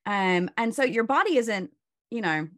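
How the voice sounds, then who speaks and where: A woman's voice: on the high side, lively intonation, unhurried, fairly bright tone. A woman burnout coach, a guest on a video call, explaining stress in a casual, instructive way with many "you know"s and "right?"s.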